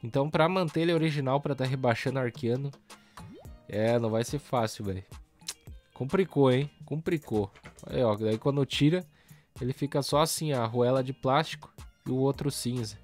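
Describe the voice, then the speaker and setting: A man with a Brazilian accent, hoarse and nasal from a cold, chatting casually in slang while he customizes a toy truck on camera. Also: medium pitch, normal speed, faint music behind. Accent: Brazilian Portuguese. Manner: relaxed slangy chatter, talks while working. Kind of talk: casual hands-on tutorial narration.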